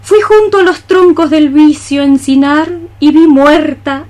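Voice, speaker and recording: Woman performing dreamy female cockroach seer, high-pitched, unhurried, melancholy, sighing poetic delivery, radio drama. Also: steady tone, a little mellow. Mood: mournful. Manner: lyrical, sighing, solemn verse delivery. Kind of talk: radio theatre acting.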